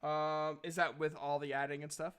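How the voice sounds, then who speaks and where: A man running a tabletop game as game master, explaining rules in an informal, halting way. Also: a little high, little pitch movement, a bit bright, smooth.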